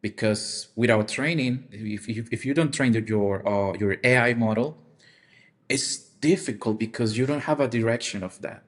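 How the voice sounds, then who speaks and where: A man who is a non-native English speaker, discussing a topic casually on a podcast and haltingly searching for words. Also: medium pitch. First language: not English. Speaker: podcast co-host or guest.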